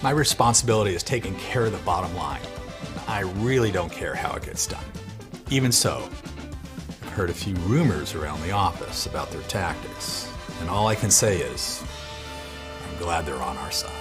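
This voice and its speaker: A man acting as a stern business owner in a mock-serious ad testimonial, speaking in a dry, deadpan way over music. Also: sits low, normal speed. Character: stern male business owner, middle-aged. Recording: music bed under the voice.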